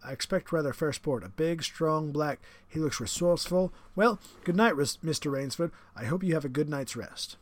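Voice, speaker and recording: A man's voice: a little high, expressive, normal speed, hardly any pauses, pitched above the performer's own voice, natural voice. A man doing a suave, menacing old aristocrat hunter. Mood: genteel menace. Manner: genteel, relishing, theatrical emphasis.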